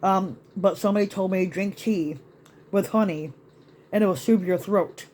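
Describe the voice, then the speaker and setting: A man ranting in a rambling vlog to his channel, with many restarts and fillers, his voice still slightly raspy after being sick. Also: high-pitched, normal speed, darkish tone, slight background noise. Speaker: YouTube vlogger. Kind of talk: rambling rant to camera.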